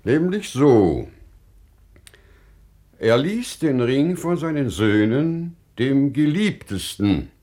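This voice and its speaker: Wise, humble parable-teller for classical radio drama: unhurried, deliberate delivery with widely swinging intonation. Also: male voice, mid-range voice, a little mellow. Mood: solemn narrating. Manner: measured, deliberate, dramatic phrasing with weighty pauses. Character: wise, humble storyteller addressing a sultan with a parable. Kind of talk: classical German stage-style radio play acting.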